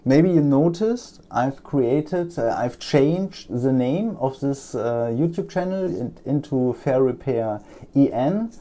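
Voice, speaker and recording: A man's voice: mid-range voice, animated, dark tone. A German man with a German accent, talking casually through a laptop repair, with frequent ums and uhs as he works at his bench. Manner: halting, many ums and uhs. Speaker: repair technician. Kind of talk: casual repair vlog talk.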